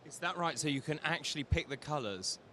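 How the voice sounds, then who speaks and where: Bright-voiced enthusiastic male interviewer. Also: a little high, expressive, brisk. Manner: enthusiastic, inquisitive. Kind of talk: trade show interview. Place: exhibition stand at trade show.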